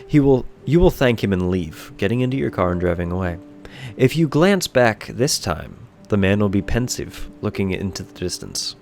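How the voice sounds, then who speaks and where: A man reading a scripted second-person bedtime story in a measured, solemn storytelling manner. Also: fairly low pitch, sing-song, creaky, darkish tone, faint music behind.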